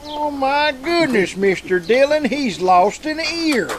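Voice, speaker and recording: An actor playing a loyal, folksy and excitable deputy sidekick in a vintage radio Western. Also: male voice, extremely high pitch, takes it slowly, noisy background, heavily acted. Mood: dismayed.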